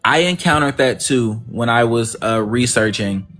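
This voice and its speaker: A man hosts a relaxed livestream on positivity. He is effusive and keeps repeating words of thanks. His voice sits at an ordinary pitch over faint background music. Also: normal speed. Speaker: livestream host. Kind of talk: casual positive-affirmation talk.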